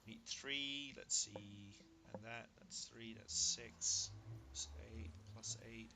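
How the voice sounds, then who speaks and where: A man whose first language is Danish, giving casual gameplay commentary. He is excitable, marvels out loud at what he builds, and counts under his breath. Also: on the low side, slow, noisy background. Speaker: gaming video creator.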